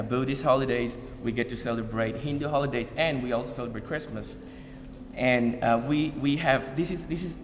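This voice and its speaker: A man from Bangladesh giving an informal talk to an audience, pausing often with fillers and repeated starts. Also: medium pitch, a little hiss or hum. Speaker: community speaker. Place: event hall. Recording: long hall reverb.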